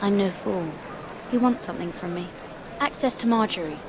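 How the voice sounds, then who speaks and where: An actress playing an earnest but resolute young noble handmaiden in a game, with guarded, measured delivery. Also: female voice, mid-range voice, background noise, lightly acted. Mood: guarded, firm. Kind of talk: dramatic game cutscene acting.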